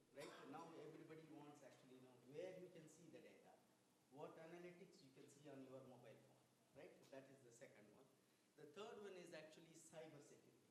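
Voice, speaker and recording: Man, fairly high voice, panelist, lists points, strong echo, noisy background. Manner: lists points, leans on "you know" and "right?". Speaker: academic panelist. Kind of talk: panel discussion answers. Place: large event room. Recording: very echoey room with noise.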